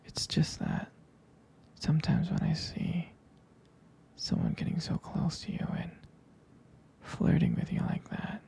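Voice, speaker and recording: Man acting as jealous devoted boyfriend, ASMR roleplay, breathy whisper, heavy gravelly creak, tender hesitant phrasing. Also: fairly high pitch, monotone, mellow, heavily acted. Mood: jealous, earnest. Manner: slow, hesitant, intimate, tender delivery. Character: devoted, jealous, protective boyfriend comforting his sweetheart.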